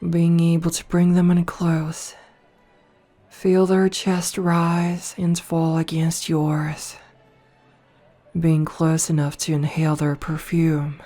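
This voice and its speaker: A woman voicing a haughty, seductive noble vampire princess in an ASMR roleplay, teasing and condescending with a hushed, breathy delivery. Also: deep voice, monotone, slow, soft background music. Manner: teasing, condescending, softly purring.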